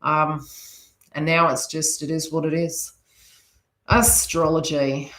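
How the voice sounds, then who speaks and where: A woman's voice: deep voice, little pitch movement, slow pace, fairly bright tone. A woman with a slight New Zealand accent chatting casually and ramblingly to live viewers as she gives spiritual advice. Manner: rambling, conversational asides to viewers. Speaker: host, psychic reader chatting with live viewers. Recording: roomy, echoey sound.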